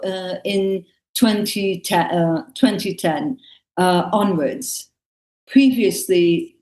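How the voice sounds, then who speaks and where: Echoey, halting woman. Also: medium pitch, darkish tone. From Lebanon. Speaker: theatre artist and actress, panel guest. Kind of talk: reflective panel conversation. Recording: echoey room sound.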